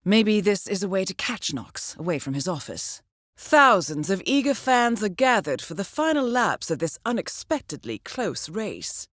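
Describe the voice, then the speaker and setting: An actress voicing a cool-headed female spy handler in a video game, briefing an agent with measured, polished precision and dry wit. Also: medium pitch, sing-song, a bit bright, no echo. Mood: composed, businesslike. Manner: measured, composed, precise, understated. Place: studio.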